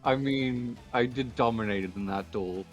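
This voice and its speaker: A man's voice: mid-range voice, a little slow, lightly acted. Composed, confident card duelist played by a man. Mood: calm, smug. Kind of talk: improvised Minecraft anime roleplay. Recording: music behind the voice.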